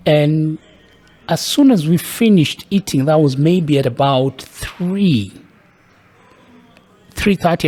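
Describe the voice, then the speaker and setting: Kenyan man telling his story thoughtfully. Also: medium pitch. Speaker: podcast guest. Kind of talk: casual interview storytelling.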